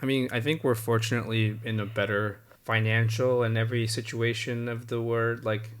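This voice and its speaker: Young man co-host, easygoing, dry jokes, relaxed casual banter. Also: medium pitch, moderate pace, slight background noise. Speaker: podcast co-host. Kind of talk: casual chat.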